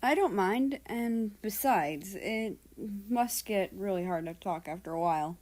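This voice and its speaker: A woman's voice: medium pitch, expressive, measured pace, darkish tone. A woman voicing a friendly, cheerful, teasing schoolboy in a story reading.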